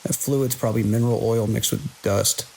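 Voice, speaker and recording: A man playing a weary, skeptical security chief in an audio drama, speaking in a dry, authoritative, measured way. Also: fairly low pitch, steady tone, darkish tone, a little hiss or hum, lightly acted, rough recording. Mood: firm, reassuring. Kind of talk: immersive audio drama acting.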